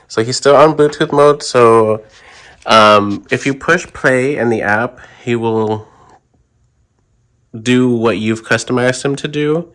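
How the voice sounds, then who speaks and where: Relaxed man walking through a demo. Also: lowish voice, no echo, studio quality. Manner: relaxed, explains step by step with fillers. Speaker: Halloween channel host. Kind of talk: casual product review and demo. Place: indoors, voice-over recorded apart from the footage.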